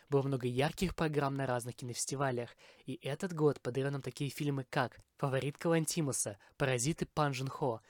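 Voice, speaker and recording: A man's voice: medium pitch, no room sound. A man hosting a podcast, reading a scripted, opinionated film review in formal phrasing.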